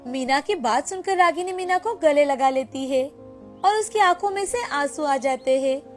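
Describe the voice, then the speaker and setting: A woman's voice: high voice, lively intonation, moderate pace, soft background music. A woman narrating a scripted moral story as storyteller.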